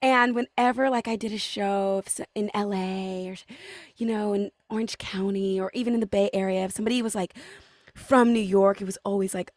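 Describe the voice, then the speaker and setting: A woman's voice: mid-range voice, steady tone, a little fast, dry recording. Effusive woman hosting, dry sound. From California, US. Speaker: podcast host. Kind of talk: casual chat interview.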